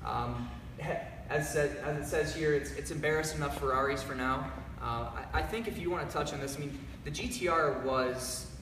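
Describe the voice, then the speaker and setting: A man's voice: a little high, normal speed, few pauses. A young man hosting a live company announcement, upbeat and presenter-like, speaking in a large garage showroom. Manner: upbeat, presenter-like, reads out viewer comments. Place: large echoey garage showroom with cars, indoors. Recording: echoey room from far off, background noise.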